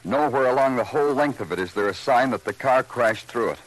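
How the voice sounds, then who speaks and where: A man's voice: medium pitch, expressive, brisk, natural voice, poor audio. A man acting a weary hard-boiled American police detective who narrates his case in clipped, dramatic old-time radio style. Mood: grim. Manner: clipped, dramatic, brooding delivery. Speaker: lead actor and narrator. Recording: vintage radio recording with music.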